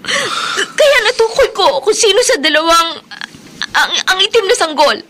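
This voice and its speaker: A woman's voice: extremely high pitch, big pitch swings, moderate pace, pushed and bright, a little hiss or hum, exaggerated voice. A woman playing an anguished, devout young mother in a horror radio drama, melodramatic and breathlessly emotional. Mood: grieving.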